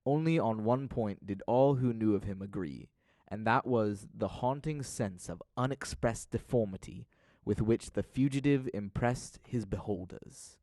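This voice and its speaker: A man's voice: on the low side, a little slow, dark tone, dry recording. A man narrating an audio drama as a scripted story, with a formal, literary delivery.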